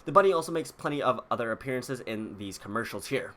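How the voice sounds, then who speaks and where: A man's voice: mid-range voice, lively intonation, fast talker, very noisy. A man hosting a video, explaining details to viewers in a casual, chatty way. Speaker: video host. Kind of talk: casual scripted video commentary.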